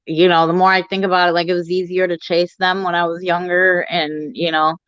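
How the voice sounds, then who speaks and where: A woman's voice: lowish voice, level tone, brisk, fairly bright tone, clear, resonant tone, dry recording, lo-fi sound. A woman in her forties co-hosting a stream in casual chat, candid and laughing often. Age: 40s. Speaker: stream co-host.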